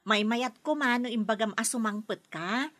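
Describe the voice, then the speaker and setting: An actress playing an earnest young daughter with an Ilocano accent in a radio drama. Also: female voice, a little high, normal speed, fairly bright tone, no room sound, close to an everyday voice. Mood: delighted. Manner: earnest and pleading. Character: young daughter, hopeful job seeker.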